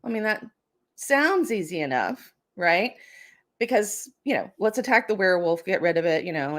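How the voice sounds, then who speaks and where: Wry, chatty woman telling folklore. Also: fairly high pitch. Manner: chatty, wry, tongue-in-cheek asides. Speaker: host and storyteller. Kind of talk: casual storytelling of folklore.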